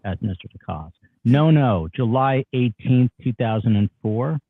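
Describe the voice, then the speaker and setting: A man's voice: medium pitch, animated, measured pace, dry recording. A man reading the part of a terse attorney instructing a client in clipped, firm interjections, over a narrow phone-like line. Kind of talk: dramatic reading of a legal transcript.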